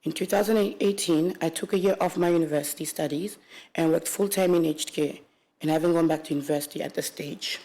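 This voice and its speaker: Young woman from congo reading her statement, kinda low voice, careful and steady, few restarts. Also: brisk, low-quality recording. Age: 20s. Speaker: witness, aged care worker. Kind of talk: reading a prepared statement aloud. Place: public hearing room.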